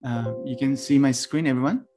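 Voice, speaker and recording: A man from Nepal in his forties, a university lecturer giving a presentation over a video call, talking haltingly with many ums and restarts. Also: medium pitch, warm tone, faint music behind. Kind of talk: webinar presentation.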